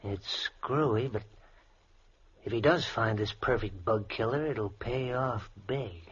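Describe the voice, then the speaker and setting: An actor playing a brash, slangy, scheming hired hand in an old-time radio drama, with a folksy, pushy manner. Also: male voice, mid-range voice, slow pace, breathy edge. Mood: amused, scornful. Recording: muffled, noisy old radio recording.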